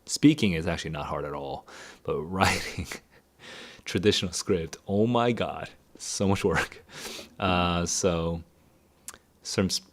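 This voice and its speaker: Low-voiced man in casual chat. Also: slow, pauses often, a little hiss or hum. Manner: candid, rambling, thinks aloud. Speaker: tutor and educator. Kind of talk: casual livestream Q&A.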